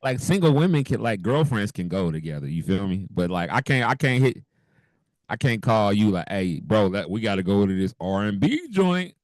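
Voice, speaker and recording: A man co-hosting a podcast, chatting casually with loose slang and frequent laughs, his deep voice hoarse from a cold. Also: very animated, moderate pace, dry recording, rough recording. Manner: laid-back, laughs often, loose slang. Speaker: podcast co-host. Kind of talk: casual chat.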